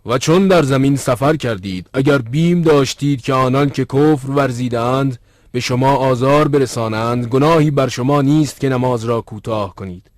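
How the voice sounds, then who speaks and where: مردی در نقش مسافری جدی با صدایی میانه. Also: male voice, medium pitch, dry recording, studio quality, close to an everyday voice. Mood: solemn. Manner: earnest, formal diction. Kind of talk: dubbed cartoon dialogue.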